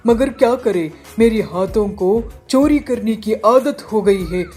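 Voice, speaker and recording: A woman's voice: mid-range voice, slow, darkish tone, faint music behind. A woman voicing a repentant male bandit in a cartoon moral story, troubled, pleading and deferential. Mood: humble, earnest.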